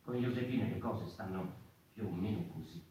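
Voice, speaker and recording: An actor playing a wryly musing narrator of a mouse people in a questioning, hesitant theatrical monologue. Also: male voice, on the low side, a little fast, dark tone, lightly acted. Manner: rhetorical, hesitant repetitions, tag questions. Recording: echoey hall from far off, noisy.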